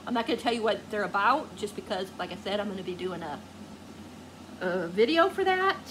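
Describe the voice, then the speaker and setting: A woman's voice: mid-range voice, expressive, a little fast, noisy background. A woman book vlogger chatting casually to viewers, gushing and rambling as she searches for words.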